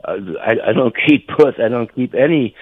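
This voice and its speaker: An elderly man from Germany with a German accent, reminiscing casually in a halting, rambling way and repeating himself. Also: mid-range voice, no echo. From North Rhine-Westphalia, Germany. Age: 70s or older. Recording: tinny phone line.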